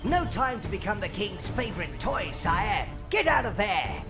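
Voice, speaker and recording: A man's voice: sits high, big pitch swings, moderate pace. An actor playing a fussy, servile old minion advisor in a video game, nagging his master with urgent orders. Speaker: advisor to the player. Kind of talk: video game cutscene voice acting. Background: game music.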